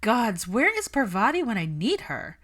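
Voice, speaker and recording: A woman's voice: fairly high pitch, huge pitch swings, moderate pace, a bit bright, voice pushed up, exaggerated voice. A woman voicing a brash, teasing young witch who pries at her friend in a smug, dramatic way, in a story reading. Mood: brash, gleeful.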